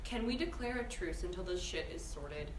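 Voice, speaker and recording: A woman at a screenplay table read playing a popular, bossy and sarcastic high school girl. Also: animated, moderate pace, lightly acted. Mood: conciliatory. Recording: echoey room with faint noise.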